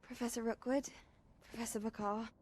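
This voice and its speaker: A woman's voice: fairly high pitch, fairly even intonation, brisk, darkish tone, a little hiss or hum, natural voice. An actress playing an earnest, curious teenage schoolgirl in a game, speaking politely and sincerely. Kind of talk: game cutscene acting.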